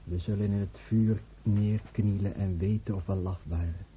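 Deep, solemn actor as a resolute male survivor. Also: fairly even intonation, moderate pace, natural voice. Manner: declamatory, liturgical. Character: guilt-searching male survivor walking resolutely into fire. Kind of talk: solemn radio play acting. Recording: tinny old radio recording, faint hiss.

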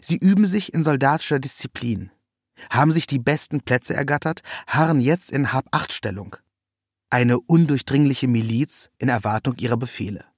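A man with a mid-pitched voice reads a first-person literary narrative. His delivery is measured and ironic, and the recording is dry, with very little room sound. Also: slightly rough, dry recording.

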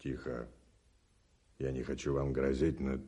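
An actor playing an imperious, impatient gentleman thief in a radio play, speaking in a curt, commanding, menacing way. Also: extremely low pitch, little pitch movement, unhurried. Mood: menacing, guarded. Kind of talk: 1980s Soviet radio play acting.

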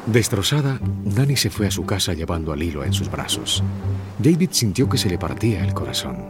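Casting: male children's storyteller, deep, lively intonation, music bed. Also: a little fast. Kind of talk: scripted children's audio story narration.

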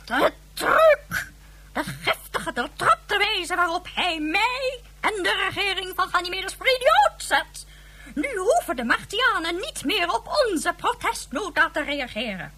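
A woman's voice: extremely high pitch, big pitch swings, measured pace, sharp tone, heavily acted. An actress playing a bitter, furious female president of a moon colony in a radio play, scathing and sarcastic. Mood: indignant.

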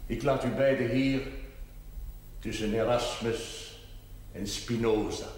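A man's voice: medium pitch, steady tone, darkish tone, close to an everyday voice. Man playing a solemn priest, very echoey room. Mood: grave, pastoral. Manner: formal, deliberate, sermonizing. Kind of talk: measured, theatrical television drama acting. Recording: very echoey, noisy 1970s TV recording.

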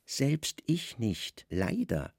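An actor playing a guarded secret service chief in a radio play, calm, deferential and cunning. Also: male voice, medium pitch, extremely slow, no echo, clean studio sound, full character voice. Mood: calm deference.

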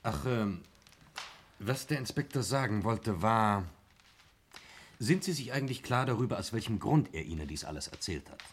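A man playing an obliging, matter-of-fact investigator's helper in a 1960s radio crime drama, explaining deliberately. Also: on the low side, animated. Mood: calm, serious. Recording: old radio recording with clear noise.

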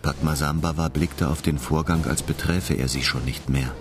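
A man's voice: a bass voice, fairly even intonation, moderate pace, noisy background. A man delivering scripted literary narration as the narrator of a radio drama. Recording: studio voice over music.

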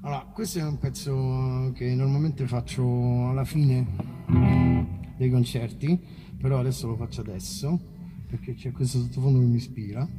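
A man's voice: mid-range voice, steady tone, normal speed, over loud music. A man chatting casually between songs at a live concert, rambling and self-deprecating, with colloquial language and swearing. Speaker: musician performing live. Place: live outdoor festival concert.

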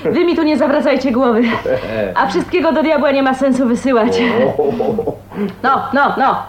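An actress playing a kind, spirited woman of twenty-nine in a radio drama, earnest, chatty and expressive. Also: high-pitched, moderate pace, crowd noise, natural voice. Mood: briskly scolding. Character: kind, spirited 29-year-old woman, dreamy and plain-spoken. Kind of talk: Polish radio drama acting.

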